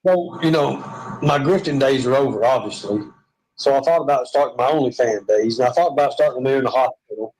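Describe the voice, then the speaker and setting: A man's voice: medium pitch, brisk, a little mellow, slight background noise, rough recording. Southern guy rambling jokes, trails off a lot, calls in from a hospital room. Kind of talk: rambling joking chat.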